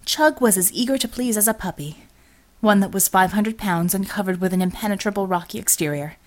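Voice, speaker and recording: A woman's voice: medium pitch, brisk. A woman reading a scripted story as an audiobook narrator, in a dramatic storytelling style.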